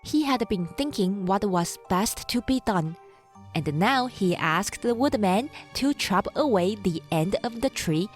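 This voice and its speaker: Slow-paced woman narrating a children's story. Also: medium pitch. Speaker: audiobook narrator. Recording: music behind the voice.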